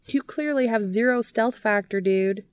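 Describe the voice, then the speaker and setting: A woman's voice: a little high, normal speed, clear, resonant tone, no echo, pitched above the performer's own voice, close to an everyday voice. A woman narrator puts on a perky young woman, the geeky, loyal best friend of an audiobook tale. Her voice sits lifted and bouncy, with teasing emphasis, in a close, dry recording.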